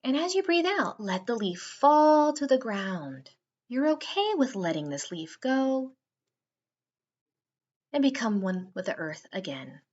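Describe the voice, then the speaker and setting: A woman narrating a guided meditation for children in a soothing, unhurried way with drawn-out phrasing. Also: a little high, big pitch swings, darkish tone, lo-fi sound.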